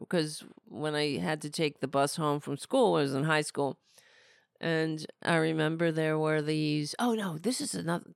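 Hoarse, gravelly-voiced woman ranting. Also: deep voice, dry recording, studio quality. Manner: rambling, sardonic, blunt. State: losing her voice. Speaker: podcast host. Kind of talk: casual political commentary.

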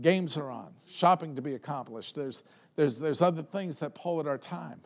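A male pastor preaching a conversational sermon in a loud voice, often repeating words as he builds a point. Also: fairly high pitch, normal speed, gravelly.

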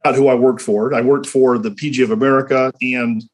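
A man who runs a regional golf association, a guest in a radio interview, speaking in a chatty, easygoing way with a slightly raspy, raw voice from a cold. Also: mid-range voice, steady tone, fairly quick. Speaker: golf association executive director.

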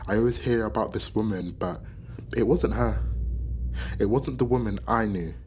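An actress playing a bitter, grieving granddaughter in a naturalistic radio drama, with a husky voice and a blunt, brooding manner. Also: female voice, a bass voice, moderate pace, darkish tone, slight background noise. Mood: brooding sadness.

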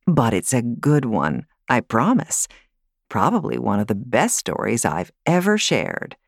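A woman voicing a loving, patient grandmother in an audiobook, gentle and reassuring. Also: very deep voice, huge pitch swings, a little slow, creaky, dry recording, studio quality, heavily acted. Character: loving, patient older grandmother soothing children. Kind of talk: audiobook character voice.

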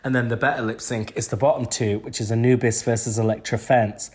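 A man's voice: mid-range voice, steady tone. Bunged-up man recapping TV. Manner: chatty, opinionated, quick asides. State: bunged up with a cold. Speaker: podcast co-host. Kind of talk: casual TV recap chat.

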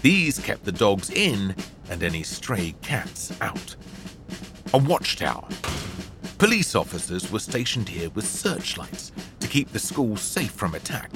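Casting: low-voiced male narrator, animated, theatrical storybook reading, soft music under. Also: unhurried. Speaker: audiobook narrator.

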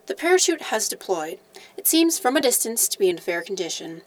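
A woman playing an awed, earnest television news reporter, with measured, dramatic broadcast delivery in an audio drama. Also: mid-range voice, big pitch swings, poor audio.

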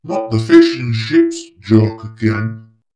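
Big sulky storybook dragon, loud, weary, groaning, slow, voiced by a man. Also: fairly low pitch, wildly swooping pitch, music in the background, pitched above the performer's own voice, heavily acted. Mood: glum. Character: huge, glum, weary dragon.

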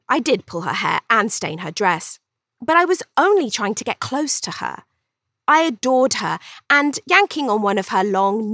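A woman's voice: medium pitch, sharp tone, no room sound, clean studio sound, heavily acted. A woman voicing a cheeky, vain young Regency Englishwoman telling her own story in an arch, chatty, theatrical way for an audiobook. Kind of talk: first-person audiobook storytelling.

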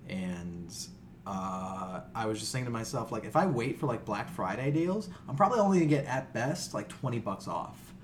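A man's voice: lowish voice, moderate pace, slight background noise. An American man co-hosting a podcast in casual, comedic chat, telling stories with plenty of swearing. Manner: animated, profane storytelling. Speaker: podcast co-host.

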